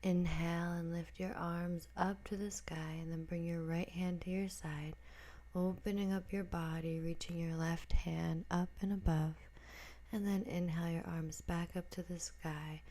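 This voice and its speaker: Woman, yoga class instruction, relaxed warm timbre, slightly low voice, gentle breathy delivery. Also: unhurried.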